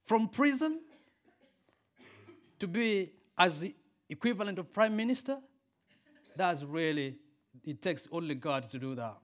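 A man preaching a sermon and leading a prayer, a native Swahili speaker who swings from loud emphatic peaks to soft quiet lines. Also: sits high, animated, unhurried, pauses often.

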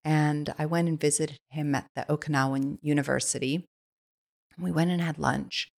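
A woman guest on a podcast, chatting in a casual, eager way and filling her sentences with "like." Her voice sits low, with a gravelly fry running through her speech. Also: steady tone, normal speed, a little mellow. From Switzerland. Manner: enthusiastic, lots of "like" fillers. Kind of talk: casual conversational storytelling.

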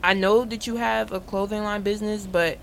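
A woman's voice: medium pitch, fairly even intonation, fairly quick, gravelly, slight background noise. Easygoing young woman radio host. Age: young adult. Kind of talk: casual chat and interview hosting.

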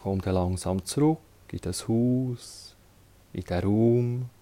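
Very slow, mellow Swiss German man. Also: lowish voice. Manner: calm, instructing in short phrases with long pauses. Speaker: yoga teacher. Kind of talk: guided relaxation meditation.

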